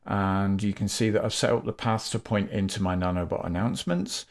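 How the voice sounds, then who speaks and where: A man with a fairly low voice walks through a software task as a tutorial host. He explains each step methodically and without a script, in an instructive way. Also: fairly even intonation, brisk, creaky. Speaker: tutorial host, game developer.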